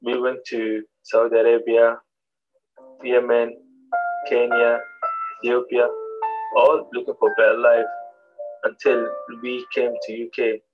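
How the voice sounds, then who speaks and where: A young man from Somalia reading his own podcast about his life, in a steady, even storytelling manner. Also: mid-range voice, a little slow, long pauses. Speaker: volunteer podcaster. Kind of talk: scripted personal podcast narration. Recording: tinny phone-like podcast with faint music.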